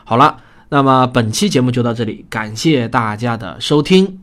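A male podcast host with a medium-pitched voice talks straight to his listeners. He explains things in a conversational manner, as in a prepared programme sign-off. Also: normal speed, fairly bright tone. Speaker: podcast host and radio drama producer. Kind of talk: scripted programme outro, spoken to listeners.

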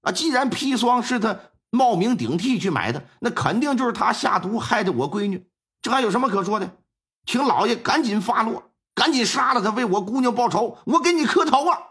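A man doing a high, pleading grieving father. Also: sing-song, normal speed, few pauses, pushed and bright, heavily acted. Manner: whiny, pleading, agitated. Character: pleading grieving middle-aged blacksmith father.

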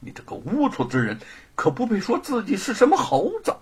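A man voicing a proud, defiant condemned scholar in a told story, speaking in a haughty, deliberate, emphatic way. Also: medium pitch, huge pitch swings, breathy edge, voice pushed down, exaggerated voice. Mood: indignant.

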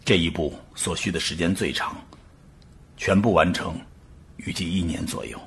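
A man playing a grave professor, giving a measured, formal briefing in an audio drama. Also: low voice, a bit bright, husky, exaggerated voice. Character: grave male professor calmly briefing world leaders.

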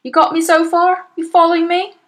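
A woman's voice: very high voice, flat delivery, brisk, pitched above the performer's own voice. Woman doing a blustering crooked dad. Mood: boastful. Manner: blustering and smug, loud. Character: boastful crooked male car dealer father.

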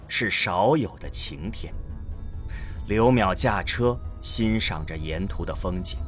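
Slow, expressive male storyteller. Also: medium pitch. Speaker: narrator. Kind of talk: scripted dramatic narration.

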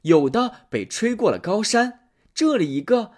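A man reading a fairy tale aloud as a storyteller, in a lively, expressive way. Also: sits high, a little slow.

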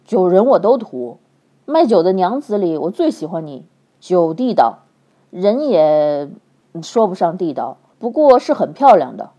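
A woman voicing an impatient young swordsman who talks loudly and bluntly. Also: lowish voice, sing-song, a little slow, lo-fi sound, voice pushed down. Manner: loud and blunt.

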